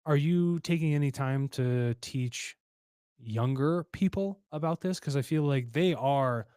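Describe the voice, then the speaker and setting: A man's voice: mid-range voice, a little slow, mellow, smooth, no room sound, studio quality. Casual, blunt male podcast host. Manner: casual, blunt, curses freely. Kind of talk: casual interview.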